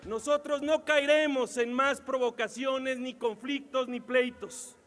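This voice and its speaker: High-voiced legislator in a reverberant chamber. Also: male voice, fairly even intonation, unhurried, fairly bright tone, soft background music. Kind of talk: formal floor speech.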